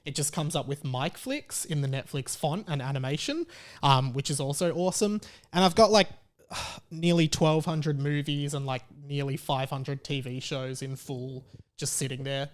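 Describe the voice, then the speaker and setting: A man's voice: fairly high pitch, clean tone. An Australian man hosting a talk show on his own, chatting casually in a rambling, conversational way. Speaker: podcast host.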